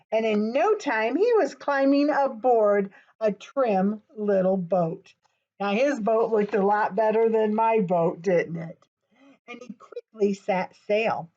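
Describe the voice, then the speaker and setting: A woman presenting a storytime for young children, talking to them directly in an animated way as she reads aloud. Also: mid-range voice, highs cut off.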